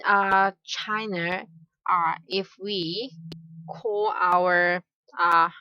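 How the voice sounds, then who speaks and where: Very slow, bright-voiced woman teacher. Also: medium pitch, clean tone, no echo. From Hong Kong. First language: Cantonese. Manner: hesitant, many filler "uh"s, careful repetition of example phrases. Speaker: language teacher. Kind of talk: slow explanatory grammar lesson. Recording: dull, slightly lo-fi dry recording.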